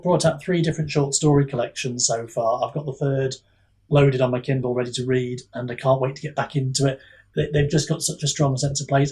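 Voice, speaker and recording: Man, husky edge, medium pitch, casual podcast guest chat, hesitant, thinking aloud. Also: a little mellow, poor audio.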